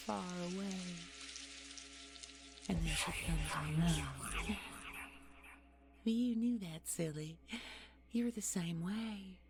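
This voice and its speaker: A woman's voice: fairly low pitch, vocal fry. A woman playing an ancient nightmare posing as a business executive in an audio horror drama, mock-sweet and menacing, with folksy, condescending pet names. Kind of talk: audio horror roleplay acting.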